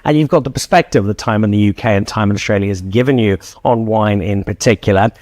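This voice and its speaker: A man hosting a wine show, interviewing a guest in a lively, teasing way. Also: on the low side, fast talker. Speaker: show host. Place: hotel room.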